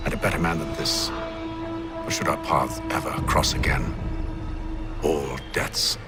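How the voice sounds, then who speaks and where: An actor playing a composed, calculating gentleman who leads conspirators in a period video game, measured and formal. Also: a bass voice, fairly even intonation. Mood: grave warning. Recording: loud music behind. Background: loud music.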